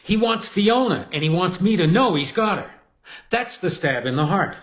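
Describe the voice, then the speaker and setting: A stubborn hotel detective in a radio crime drama. His voice is a touch high for a man, and he speaks in terse, urgent, resolute bursts. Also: expressive, moderate pace, fairly bright tone. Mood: urgent, certain.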